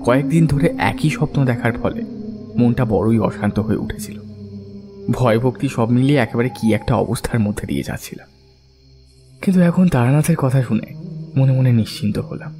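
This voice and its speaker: A man's voice: medium pitch, close to an everyday voice. Man acting earnest devout family man over faint music. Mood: troubled. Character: earnest devout family man recounting his troubling dream. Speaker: narrator and character. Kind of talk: dramatised audio story narration. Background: faint background music.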